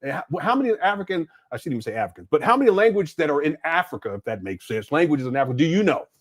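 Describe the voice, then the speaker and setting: Exasperated man giving lively commentary. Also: fairly high pitch, racing. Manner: exasperated, laughing, slangy asides. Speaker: commentator reacting to a clip. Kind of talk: casual reaction commentary.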